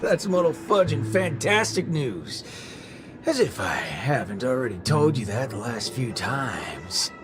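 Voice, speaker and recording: A man's voice: medium pitch, sing-song, unhurried. A man voicing a sarcastic, exasperated, grumbling man in a video game. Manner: wry, exasperated. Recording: music behind the voice.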